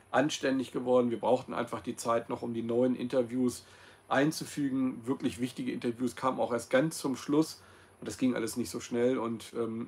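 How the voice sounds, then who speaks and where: A man in his fifties speaks at a middling pitch, talking straight to his audience in a loose, unscripted way. He hesitates often, fills gaps with ums and corrects himself mid-sentence. The sound is close and plain, like a laptop camera in a small, cosy room. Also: a little mellow. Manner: rambling, many ums and self-corrections. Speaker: host of his own channel. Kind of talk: casual livestream monologue.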